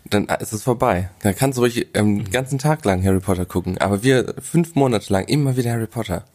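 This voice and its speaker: Ein Podcast-Mann spricht sehr schnell und recht tief. Er klingt unverblümt und etwas entnervt. Sein Ton ist lässig. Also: male voice, on the low side, quick pace, darkish tone. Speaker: podcast co-host. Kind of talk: casual film podcast chat.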